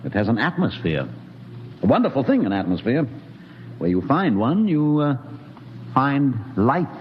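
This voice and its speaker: A wry, brooding scientist. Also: male voice, mid-range voice, very animated. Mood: musing. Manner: measured, wry, reflective. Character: calm, brooding male scientist, wry and fatalistic. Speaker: expedition doctor. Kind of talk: 1950s radio drama acting. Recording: lo-fi 1950s radio broadcast with hiss and reverb.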